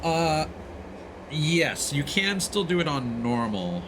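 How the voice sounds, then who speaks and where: A man's voice: fairly high pitch, sing-song, slow pace, noisy background. A man doing casual live gameplay commentary, thinking aloud and chatting to his viewers as he plays. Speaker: game streamer. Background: video game music.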